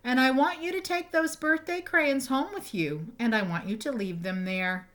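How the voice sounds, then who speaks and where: A woman's voice: sits high, moderate pace, fairly bright tone, pitched above the performer's own voice. A woman voicing a strict female first grade teacher in a storybook, firm and instructing. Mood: firm, displeased. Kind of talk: storybook character voice.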